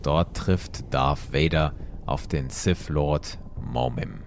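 A man's voice: a bass voice, slow, a little hiss or hum. A man retelling a comic as an audio story, mixing vivid narration with wry, casual asides. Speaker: podcast host and storyteller.

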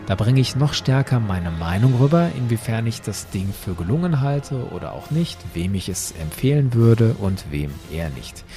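A man hosting a podcast, giving explanatory, semi-scripted commentary with clear emphasis. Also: lowish voice, very animated, moderate pace, few pauses, mellow, faint music behind. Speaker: podcast host.

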